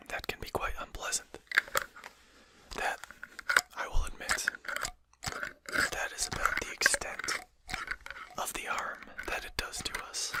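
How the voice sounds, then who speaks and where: A performer voicing a composed, courteous, faintly mocking vampire in a breathy, articulate whisper for an ASMR roleplay. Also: lightly acted. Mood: dryly amused. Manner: slow, articulate, formal, gently mocking. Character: composed, courteous, condescending vampire addressing a hunter.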